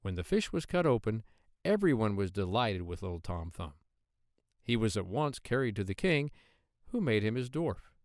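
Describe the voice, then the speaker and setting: A man reads a classic children's tale as an audiobook narrator. His mid-pitched voice rises and falls with lively expression, and the sound is close and dry. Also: normal speed, dry recording. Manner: expressive storytelling. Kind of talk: scripted storybook narration.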